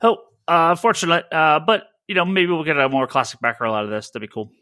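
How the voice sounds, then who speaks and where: A man's voice: on the high side, big pitch swings, a little fast, pushed and bright, dry recording, clean studio sound. A man hosting a casual, informal discussion of comics news. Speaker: podcast host.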